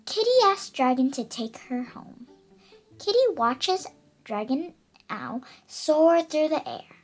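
Young girl reading aloud, animated and high. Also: slow, soft background music. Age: child, about eight. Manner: expressive, careful reading with stumbles over words. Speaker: young storybook reader. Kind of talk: read-aloud children's picture book.

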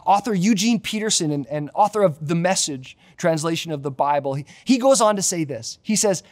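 A man's voice: a little high, lively intonation, a little fast, a little mellow. A man in his thirties with a Canadian accent preaching a sermon as a pastor, emphatic and repeating key phrases for effect. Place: large empty church auditorium.

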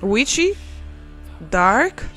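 A woman in her thirties from Poland, speaking with a Polish accent, holding a microphone in a cozy room and reacting to a song with excited exclamations and laughs. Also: fairly high pitch, extremely slow, soft background music. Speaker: YouTuber and music journalist. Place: cozy living room with fairy lights, indoors. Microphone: handheld microphone.

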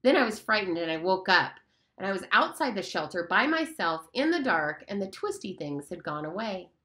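A small, frightened young boy recounting something earnestly, as a woman reading the story voices him. His voice is piping, high and bright. Its pitch rises and falls widely. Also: very animated, normal speed.

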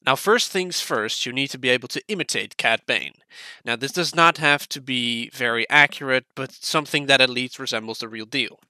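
A man introduces a tutorial casually and informally. His voice is mid-pitched and very bright and forceful, recorded dry and close. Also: moderate pace, clean tone, no echo. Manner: informal, sweary. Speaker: tutorial host.

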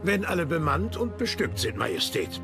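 An actor playing a resolute, deferential English admiral in a German docudrama, speaking formally and respectfully. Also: male voice, a little high, sing-song, moderate pace, music in the background. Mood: dutiful, resolute. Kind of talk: docudrama dialogue in German dub.

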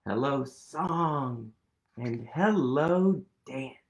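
A man in his thirties reading a picture book aloud to children, animated and playful, leading them in actions, recorded on a webcam in a small room. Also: medium pitch, drawn-out words, muffled recording. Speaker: storytime reader.

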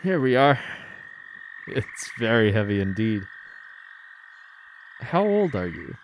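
A man playing a gentle, courteous stranger in a radio drama, speaking in a measured way. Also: fairly low pitch, very animated, a little hiss or hum, full character voice, rough recording. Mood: kindly. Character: gentle, kindly male stranger, a traveler.

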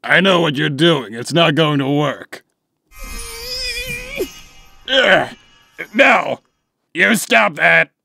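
A man's voice: fairly high pitch, animated, very slow, gravelly, long pauses, over music, heavily acted. A man voicing a blustering, menacing mad-scientist villain, pompous and threatening, in a cartoon comic dub. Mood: flustered, angry.

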